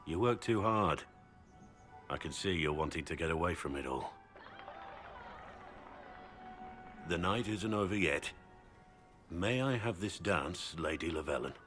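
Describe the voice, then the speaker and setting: An actor playing a solemn, devoted middle-aged warrior in a video game, speaking earnestly and gravely. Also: male voice, low-pitched, lively intonation, normal speed, fairly bright tone, faint music behind. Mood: gentle.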